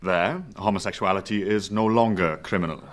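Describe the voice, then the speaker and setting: A man plays a cool, formal male therapist with a low voice. He speaks in measured radio drama dialogue, with slight noise behind. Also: close to an everyday voice. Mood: cool, clinical. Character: detached, formal therapist, cool and dryly resigned.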